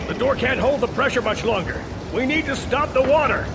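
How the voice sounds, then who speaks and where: A man's voice: extremely high pitch, brisk, very noisy. An actor playing a tough, wry male soldier in an action video game, talking in terse, commanding phrases. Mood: tense.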